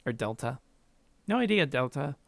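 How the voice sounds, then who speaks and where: A man's voice: mid-range voice, sing-song, normal speed, gravelly, dry recording. Man casually commentating on a game stream. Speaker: gaming streamer, host. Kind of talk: casual live let's-play commentary.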